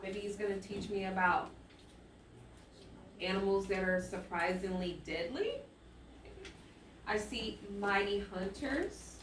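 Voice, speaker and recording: A roomy, slightly noisy recording catches a woman at some distance from the mic. She is an elementary teacher leading a read-aloud for children. She muses out loud as she goes and keeps checking that her listeners follow. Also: medium pitch, slow, pauses often. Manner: thinking aloud with musing hums and exclamations. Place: classroom with echo.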